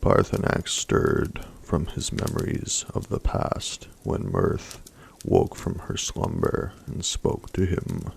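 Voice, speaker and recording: A man reading a fantasy story aloud in a hushed, mostly whispered ASMR style. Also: deep voice, big pitch swings, takes it slowly, vocal fry, hardly any pauses. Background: faint campfire crackle.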